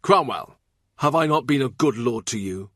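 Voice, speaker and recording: A man's voice: medium pitch, animated, moderate pace, fairly bright tone, dry recording, studio quality. A man voicing an imperious yet gracious middle-aged English king in audiobook dialogue, with a commanding, declarative manner. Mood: aggrieved, regal.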